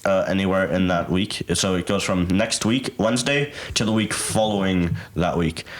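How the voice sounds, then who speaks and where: A man's voice: sits low, moderate pace. A young man chatting casually as a student podcast co-host, rambling and repeating himself, with some swearing. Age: teenager or young adult. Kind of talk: casual group chat. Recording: roomy echo, faint background noise.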